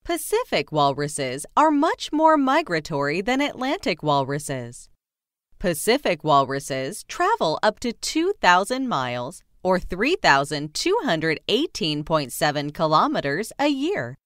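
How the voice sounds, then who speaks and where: Woman, children's book narrator, very lively intonation, dry close sound. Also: lowish voice, unhurried, no room sound. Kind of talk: scripted read-aloud narration of a children's nonfiction book.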